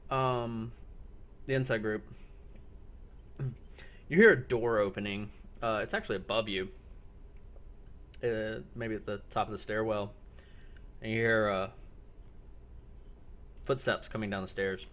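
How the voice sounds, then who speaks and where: A man running a roleplay game as game master, improvising descriptions in halting, paused phrases. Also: lowish voice, fairly bright tone.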